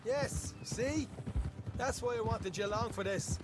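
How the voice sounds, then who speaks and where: An actor playing a brash, boastful young western outlaw, chatty and self-justifying, in a video game cutscene. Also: male voice, extremely high pitch, a little slow. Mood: indignant. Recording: game audio with loud outdoor ambience. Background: horse hooves, outdoor ambience.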